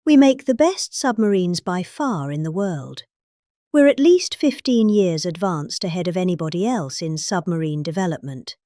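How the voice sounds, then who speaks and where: A woman's voice: fairly low pitch, lively intonation, normal speed, dark tone, no echo, studio quality. A middle-aged British woman giving a theatrical parody reading of a speech, with exaggerated, emphatic delivery. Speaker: performer reading a political speech.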